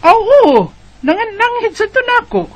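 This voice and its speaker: A woman playing a fussy, excitable village mother who scolds and exclaims, in a Bisaya radio drama with a Cebuano accent. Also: very high-pitched, measured pace, muffled recording, exaggerated voice. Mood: fussy, chiding. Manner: exclamatory, nagging.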